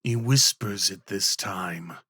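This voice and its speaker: A man reading a scripted fantasy roleplay story in a measured, deliberate storytelling manner, in an ASMR style. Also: low-pitched, pushed and bright, husky, no echo, studio quality. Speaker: audio roleplay narrator.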